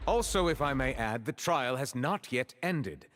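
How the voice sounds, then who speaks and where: An actor voicing a stern, formal chief justice who lays out a case in a video game. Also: male voice, medium pitch, lively intonation, fairly bright tone, a little hiss or hum. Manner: measured, formal, deliberate.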